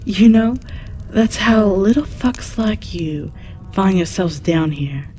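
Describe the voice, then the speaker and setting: A woman's voice: medium pitch, expressive, slow pace, darkish tone, slight background noise. A woman playing a jaded, mocking keeper of the underworld, brash, foul-mouthed and drawling, in an audio drama. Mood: scornful, exasperated. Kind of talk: scripted audio drama monologue.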